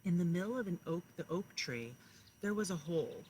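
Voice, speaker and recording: A woman kindergarten teacher talking and reading aloud to young children, speaking to them directly and pausing to explain and ask questions. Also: fairly low pitch, animated, a little mellow, slight background noise.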